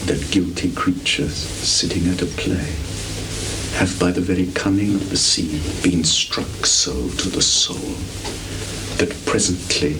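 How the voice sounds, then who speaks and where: A male stage actor playing an anguished, self-loathing young prince bent on revenge, in a declamatory, drawn-out Shakespearean style. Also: low voice, steady tone. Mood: hushed plotting. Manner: declamatory, drawn-out, swinging from hushed to shouting. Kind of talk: theatrical Shakespearean dramatic reading. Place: on stage in a small theatre. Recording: noisy 1980s live theatre video recording.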